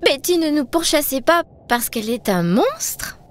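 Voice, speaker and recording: Excitable high-pitched little cartoon girl. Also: measured pace. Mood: curious, earnest. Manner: expressive, exclaiming. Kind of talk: animated children's cartoon dialogue.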